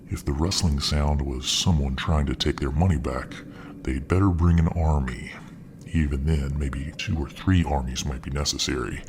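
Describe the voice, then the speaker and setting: A man narrating a fiction story in a soft, ASMR style. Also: noisy background. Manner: slow, soft, deep. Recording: narration over clear music.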